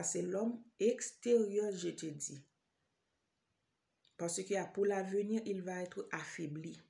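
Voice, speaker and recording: A woman's voice: on the low side, vocal fry, warm tone. A woman from Haiti with a Haitian accent, preaching an informal sermon straight to the listener, hesitant, full of fillers and repeated phrases. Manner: addresses listener directly.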